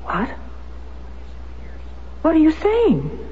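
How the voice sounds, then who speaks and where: A war widow set on uncovering the truth in a 1970s radio drama. Her voice sits a little high and sounds earnest and urgent. The old broadcast is muffled, with a soft hiss. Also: wildly swooping pitch, drawn-out words, heavily acted. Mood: shocked. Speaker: lead actress in a radio drama. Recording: dull old radio recording, faint hiss.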